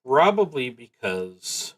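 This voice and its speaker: Slow, halting man thinking aloud. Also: medium pitch, very animated, fairly bright tone. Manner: halting, deliberate, musing to himself. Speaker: host of a solo roleplaying livestream. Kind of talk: thinking aloud while playing a solo tabletop game.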